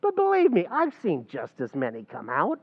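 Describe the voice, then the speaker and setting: A man acting a scheming, fast-talking tavern manager with a gravelly, streetwise voice and mangled grammar, in an old-time radio comedy recreation. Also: high voice, huge pitch swings, long reverb tail, narrow, tinny audio, heavily acted. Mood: wheedling. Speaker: stage actor in a radio play recreation.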